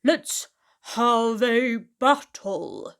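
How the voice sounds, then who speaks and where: A boisterous Viking adventurer from a storybook, acted out by a woman. The delivery is bold and declamatory, very slow and drawn out, in a fairly high voice. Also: animated, a bit bright, exaggerated voice. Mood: eager, boastful.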